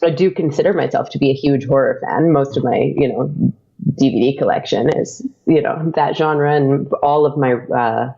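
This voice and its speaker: A woman being interviewed about her podcast, talking hesitantly with many pauses. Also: extremely low pitch, creaky, mellow, muffled recording. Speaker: guest, audio drama podcaster. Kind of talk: casual interview chat.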